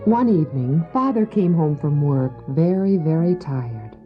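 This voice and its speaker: A man's voice: a little high, animated, measured pace, over music. A man performing as the storyteller of a sentimental children's audio drama, in scripted narration. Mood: measured storytelling. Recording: dull old cassette audio.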